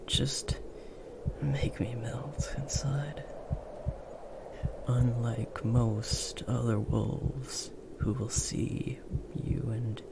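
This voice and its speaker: A man voicing a big, gentle, protective werewolf in a comforting ASMR roleplay, speaking in a hushed, soothing, breathy murmur. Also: medium pitch. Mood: fond, protective.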